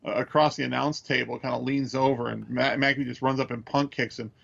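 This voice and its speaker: An American man co-hosting a podcast over a dull remote connection, chatting casually and analytically, halting as he thinks aloud. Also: medium pitch, fairly even intonation, gravelly, a bit bright. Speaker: podcast co-host. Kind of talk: casual chat, measured analysis.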